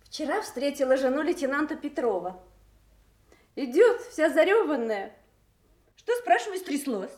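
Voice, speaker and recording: Officer's wife, high voice, lively, caring, expressive domestic delivery, Soviet radio drama. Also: female voice, unhurried, long pauses. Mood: amused, gossipy.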